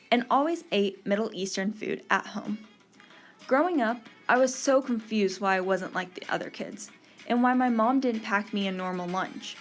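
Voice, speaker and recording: A woman's voice: a little high, fairly bright tone. Young woman host reading a script. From Texas, USA. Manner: earnest, read-aloud delivery. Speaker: podcast host. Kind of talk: scripted podcast narration.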